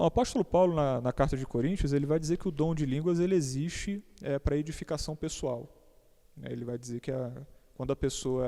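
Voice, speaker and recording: Mellow Brazilian man with halting repeats. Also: a little high. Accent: Brazilian Portuguese. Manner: hesitant, repeats words, informal asides. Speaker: church teacher on a panel. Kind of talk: conversational teaching. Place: church hall. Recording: long hall reverb.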